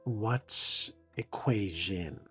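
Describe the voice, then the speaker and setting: A man playing a cool young vampire fledgling in video game dialogue, speaking in a measured, deadpan, businesslike way. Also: fairly low pitch, breathy edge, faint music behind, full character voice. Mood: curt, questioning.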